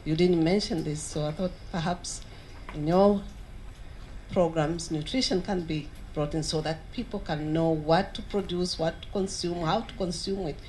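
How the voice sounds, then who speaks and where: A woman's voice: fairly low pitch, steady tone, a little mellow, a little hiss or hum, rough recording. A woman from Tanzania in a conference audience, asking an earnest question with some hesitation. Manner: earnest, halting with fillers. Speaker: audience member. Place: large conference hall. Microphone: handheld mic.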